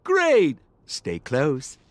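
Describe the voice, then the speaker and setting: A man playing a smooth-talking, boastful young swindler guide in a video game, with a theatrical, wheedling salesman's manner. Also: fairly high pitch, huge pitch swings, very slow, no echo, heavily acted. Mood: breezy explaining.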